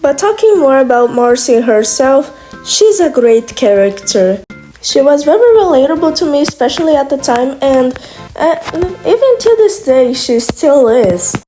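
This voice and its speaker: A young Brazilian woman with Portuguese as her first language talks casually, with a slightly high, mellow voice. She rambles and restarts her sentences often. Faint music plays softly behind her. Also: big pitch swings, unhurried, rough recording. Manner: rambling, hesitant, many restarts. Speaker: video creator. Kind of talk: casual monologue.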